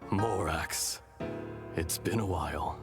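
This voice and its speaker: An actor playing a calm, ancient dragon spirit in a human body for a video game, speaking gravely and formally in a husky voice. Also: male voice, low-pitched, slow pace, loud music behind the voice. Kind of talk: dramatic video game voice acting.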